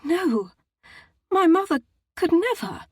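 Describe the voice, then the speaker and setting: A woman's voice: mid-range voice, moderate pace, darkish tone, slightly rough, no room sound, studio quality, pitched above the performer's own voice, lightly acted. A woman voicing a distraught young society woman who speaks in a quaking, tearful voice. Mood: upset.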